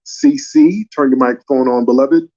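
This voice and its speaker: A man hosting a call-in panel, brisk and bossy as he brings callers on and tells them to slow down. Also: medium pitch, sing-song, gravelly, mellow, lo-fi sound. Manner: brisk, calls people up and scolds them to slow down. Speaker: host of a call-in panel stream. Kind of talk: casual moderating.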